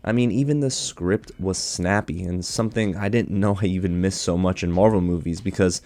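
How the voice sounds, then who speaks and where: A man's voice: sits low, fairly quick, darkish tone. A man hosting his own channel, chatting enthusiastically to viewers in a casual movie review while getting over a cold.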